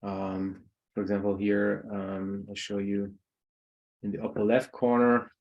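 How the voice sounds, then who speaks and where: A man from Germany facilitating a video-call meeting, relaxed and hesitant with frequent ums. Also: lowish voice, measured pace, highs cut off. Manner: hesitant, many ums, light laughs. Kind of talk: casual online meeting talk.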